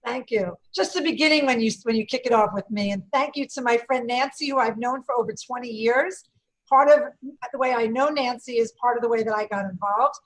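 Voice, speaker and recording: A woman's voice: fairly high pitch, brisk, low-quality recording. A woman from Brooklyn with a New York accent, an event company owner, speaking emphatically on a panel call. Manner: big, emphatic voice. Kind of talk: panel discussion on a video call. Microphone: video call.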